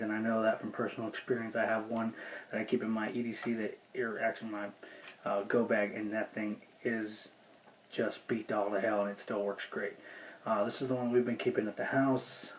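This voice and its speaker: Male gear reviewer, casual and folksy, mid-pitched with little inflection, some background noise. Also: low-quality recording. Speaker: gear review video host. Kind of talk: casual unscripted product review.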